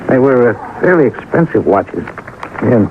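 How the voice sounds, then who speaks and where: A man's voice: mid-range voice, very animated, normal speed, gravelly. An American man playing a shrewd, persistent police sergeant in an old-time radio detective drama, speaking in a terse, businesslike way. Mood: scheming, persuasive. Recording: tinny, noisy old radio recording.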